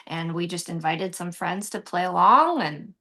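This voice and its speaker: A woman's voice: lowish voice, animated, normal speed, pushed and bright. An American woman from Texas talking casually and animatedly as an interview guest, telling personal stories. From San Antonio, Texas, United States. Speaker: interview guest, actress and swing band musician.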